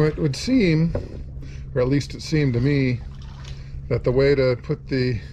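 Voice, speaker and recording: Background noise sits under the voice of a man in his sixties with a mid-pitched delivery. He hosts an informal sailing vlog and explains things plainly, one step at a time. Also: a little mellow. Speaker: sailing vlogger and host. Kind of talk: informal narrated travel tour and how-to.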